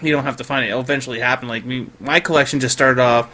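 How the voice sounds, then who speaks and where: A man's voice: medium pitch, fairly even intonation, quick pace, pushed and bright. A man talking casually and informally in a wry, incredulous way. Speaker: podcast participant and collector. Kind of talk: casual chat.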